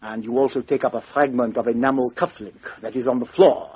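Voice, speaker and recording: An actor playing a shrewd, methodical foreign detective in a 1950s radio mystery, speaking in a deliberate, courteous, theatrical way with rhetorical questions. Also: male voice, mid-range voice, steady tone, husky. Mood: accusing, assured. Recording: dull lo-fi 1950s radio recording.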